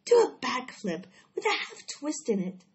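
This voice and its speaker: A woman's voice: fairly high pitch, expressive, normal speed, a little mellow, dull audio, lightly acted. A woman voicing a wise, patient female spider in a storybook, polite and firm. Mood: commanding.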